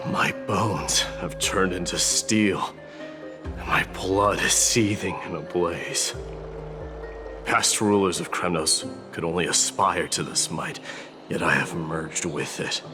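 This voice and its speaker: A man's voice: low-pitched, takes it slowly. A man playing a proud, stern warrior prince turned war god in a video game, with a grandiose, declamatory delivery. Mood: grand, resolute. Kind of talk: scripted video game acting. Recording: clear music behind.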